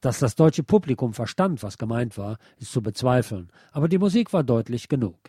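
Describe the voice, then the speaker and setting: A man's voice: mid-range voice, sing-song, brisk, mellow, slightly rough, no room sound, clean studio sound. A man presenting a scripted, lecture-like essay as a narrator. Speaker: presenter reading a radio essay.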